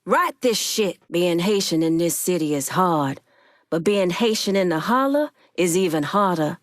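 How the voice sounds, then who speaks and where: A woman's voice: lowish voice, expressive, a little slow, no echo, studio quality. An actress playing a tough, shrewd Haitian woman gang boss in a video game, blunt, streetwise and commanding. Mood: resolute, businesslike.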